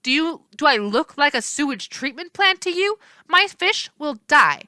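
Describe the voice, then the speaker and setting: A woman's voice: sits high, very animated, normal speed, sharp tone, no echo, clean studio sound, pitched above the performer's own voice, exaggerated voice. A woman voicing a feisty, indignant young female river nymph in a storybook, sassy and emphatic.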